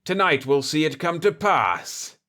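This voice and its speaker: A man's voice: on the high side, expressive, a little slow, pushed and bright, pitched above the performer's own voice. A man voicing a burly, pompous villain potentate in an audiobook story, florid and theatrical with his threats. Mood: outraged, menacing.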